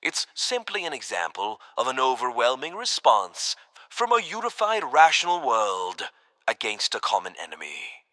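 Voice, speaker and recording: A man's voice: medium pitch, huge pitch swings, normal speed, bright, forceful tone, dry recording. A man playing a smug authoritarian world president in an audio drama, with pompous, oratorical delivery. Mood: smugly grandiose.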